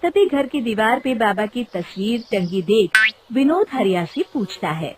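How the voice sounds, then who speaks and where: A woman's voice: on the high side, expressive, measured pace, crowd noise. A woman reading scripted narration for a moral storybook cartoon.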